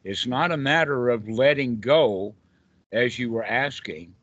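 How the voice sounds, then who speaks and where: A man's voice: mid-range voice, a little slow, fairly bright tone, no echo. A man with a Southern US accent speaks as a meditation teacher to a small group online. He is emphatic and leans on repeated rhetorical questions. The sound is dull and lo-fi, close and dry, like an internet call.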